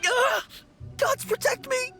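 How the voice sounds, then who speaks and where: A man's voice: very high voice, steady tone, takes it slowly, creaky, heavily acted. A man playing a timid, jumpy young rookie undertaker in a video game, speaking in a fearful, stammering, pleading way. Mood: panicked, pleading. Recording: music behind the voice.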